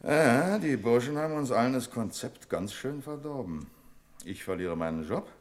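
An actor playing a proud, wry bank official in a radio play, dry and authoritative, quick to turn indignant. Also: male voice, mid-range voice, lively intonation, lightly acted. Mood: resigned, wry. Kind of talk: German radio play acting.

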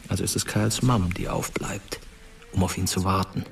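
An actor as the sober storyteller of a German crime radio drama, giving scripted third-person narration. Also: male voice, sits low, flat delivery, moderate pace, gravelly, a little hiss or hum.

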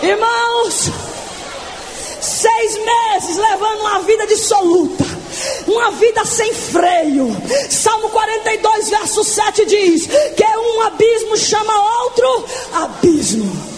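A woman in her twenties preaching her testimony in a fervent, shouting evangelical sermon, stretching words for emphasis. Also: extremely high pitch, expressive, slow pace, soft background music, some chatter behind. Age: 20s.

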